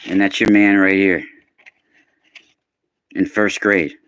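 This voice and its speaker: A man in his forties hosts a live unboxing stream, his voice sitting a little low yet sounding surprisingly young. He chats casually with viewers and calls them by name, breaking into bursts of excitement. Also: slow, smooth, no room sound. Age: 40s. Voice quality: youthful-sounding voice.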